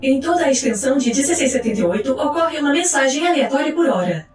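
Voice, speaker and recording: A woman's voice: high voice, expressive, fast talker, darkish tone. A woman reading scripted documentary-style fiction narration in a formal, clinical manner. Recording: roomy echo, faint music behind. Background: faint music.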